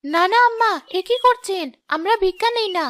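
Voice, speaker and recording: A woman's voice: very high-pitched, a little fast, a bit bright, slight background noise. A woman voicing a poor, sorrowful mother in a cartoon, speaking in a pleading way. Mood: humble. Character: poor tearful young mother. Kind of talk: cartoon voice acting.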